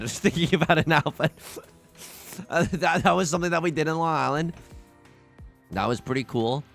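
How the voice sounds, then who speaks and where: Young man, excitable gameplay commentator; lively up-and-down delivery, jokey, trips over restarts, faint game music underneath. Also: medium pitch. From China, raised in the US. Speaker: let's play host.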